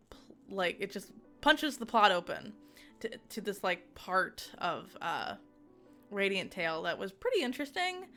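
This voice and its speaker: A young woman giving a casual, excitable game review, giggling often and rambling with fillers and restarts. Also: mid-range voice.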